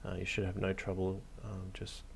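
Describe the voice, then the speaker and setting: A man hosting a hobby tutorial, narrating casually off the cuff in a hesitant, low-key, encouraging way. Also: monotone, normal speed, gravelly, slightly rough. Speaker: hobby craft tutorial host.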